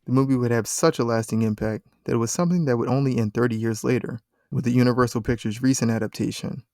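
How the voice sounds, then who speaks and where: A man's voice: medium pitch, steady tone, fast, a little mellow, dry recording, clean studio sound. A man reading scripted video essay narration in the manner of a documentary. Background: background music.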